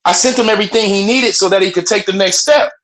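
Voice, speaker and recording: A man with a strong, loud voice, speaking passionately on a group phone call. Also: sits high, fast talker. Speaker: webinar participant. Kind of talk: casual group call talk.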